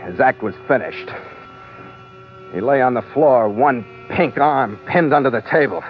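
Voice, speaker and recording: An actor playing a tough, wry sea captain who narrates an old radio adventure in a clipped, hard-boiled manner. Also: male voice, mid-range voice, lively intonation, measured pace, close to an everyday voice. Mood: tense, dramatic. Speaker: narrator and lead character. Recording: muffled vintage radio recording, loud music. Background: dramatic music.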